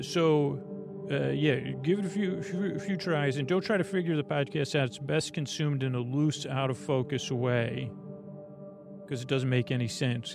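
A man hosting a sleep podcast, rambling in a loose, meandering way that drifts softer and quieter. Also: medium pitch, creaky. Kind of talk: rambling casual monologue.

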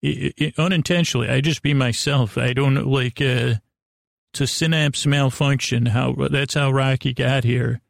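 A male podcast host with a creaky, gravelly voice at a medium pitch, recorded dry and close. He improvises a silly monologue, wandering off, stumbling over words and restarting, all with mock sincerity. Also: fairly even intonation, no echo. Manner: meandering, halting, stammering, trails off and restarts; silly, absurd, mock-earnest. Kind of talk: rambling improvised monologue addressed to an imaginary guest.

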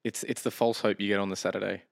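A laid-back man chats with his mates on a podcast, laughing easily and calling people "mate". His voice sits on the low side. The recording is dry and close. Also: little pitch movement, quick pace, gravelly, dry recording. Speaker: podcast co-host. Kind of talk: casual chat.

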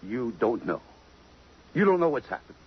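An actor playing a tough, brooding police detective in 1950s American radio drama, curt and hard-boiled. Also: male voice, mid-range voice, big pitch swings, moderate pace, slightly rough. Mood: startled, urgent. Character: tough, brooding police detective lieutenant smitten by a murder victim. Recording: muffled, noisy 1950s radio recording.

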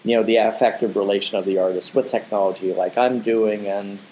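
A man's voice: fairly low pitch, fairly even intonation, brisk, warm tone, a little hiss or hum, rough recording. A man, a digital artist, speaking as an interview guest in a casual, rambling way, often repeating "you know" and "the thing is.".

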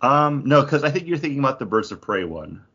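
A man's voice: medium pitch, big pitch swings, a little fast, pushed and bright, slightly rough. A man co-hosting a podcast, giving his opinions in casual conversation. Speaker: podcast co-host. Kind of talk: casual chat and opinion on films and TV. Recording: dull lo-fi sound.

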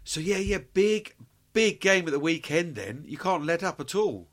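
A man hosts a sports interview with a chatty, loose delivery, often restarting his sentences. His voice sits somewhat high for a man. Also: expressive, moderate pace, a bit bright. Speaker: interview host.

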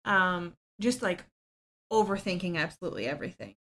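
A woman's voice: on the low side, lively intonation, a little fast, a bit bright, a little hiss or hum. A woman, a podcast guest who talks openly about her own life, often with small laughs and ums. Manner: open and self-deprecating, laughs easily, frequent ums. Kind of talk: candid personal storytelling.

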